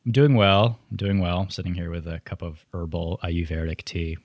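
A man's voice: deep voice, normal speed, no echo, studio quality. A man hosting a podcast, reading a scripted intro and then chatting playfully with his guest. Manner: animated, playful delivery. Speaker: podcast host.

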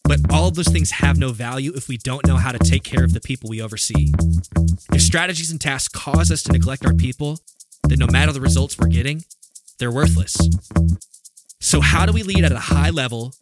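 A man reading a scripted podcast intro in an earnest, measured way. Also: mid-range voice, very animated. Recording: voice over loud music bed.

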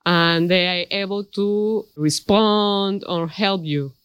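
A woman's voice: medium pitch, steady tone, slow pace, fairly bright tone, clear, resonant tone, dry recording. A woman from Peru, speaking carefully and a little hesitantly as a panelist. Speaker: MBA student panelist. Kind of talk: panel discussion.

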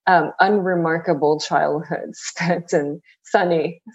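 A woman in her forties from Southern California answers questions in a lowish voice. She keeps a wry, self-deprecating tone. Her audio comes through a little dull and muffled, as over a remote call. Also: steady tone, takes it slowly, darkish tone, slightly rough. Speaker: guest. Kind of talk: interview answers.

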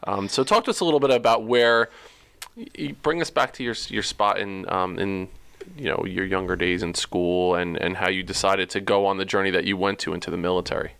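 A man's voice: deep voice, steady tone, fairly quick, vocal fry, fairly bright tone, clean tone. A man hosting an interview, asking questions conversationally with hesitant, searching phrasing. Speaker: interviewer, host.

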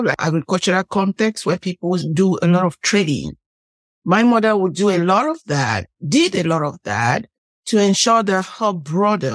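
A woman's voice: fairly low pitch, no echo. A middle-aged woman with a Cameroonian accent, a professor telling her story as an interview guest in an earnest, reflective way, often rephrasing as she goes. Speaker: guest, biblical studies professor. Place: podcast studio table.

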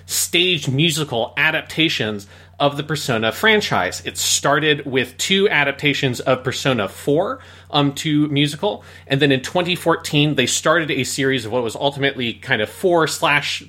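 A man's voice: mid-range voice, lively intonation, normal speed, bright, forceful tone. A man co-hosting a podcast, explaining things in a casual, somewhat rambling way. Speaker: podcast co-host.

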